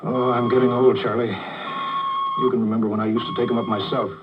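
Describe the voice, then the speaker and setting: Gruff, anxious aging rocket chief, noisy recording. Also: male voice, mid-range voice, huge pitch swings, fairly quick. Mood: bitter, weary. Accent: American. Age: middle-aged. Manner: urgent, clipped, commanding. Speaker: actor. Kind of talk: 1950s radio drama acting. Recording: noisy, muffled 1950s radio broadcast recording.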